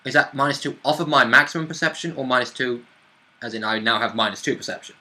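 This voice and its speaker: A man's voice: medium pitch, fast talker, bright, forceful tone. A man playing a tabletop roleplaying game, chatting casually and informally with mild swearing.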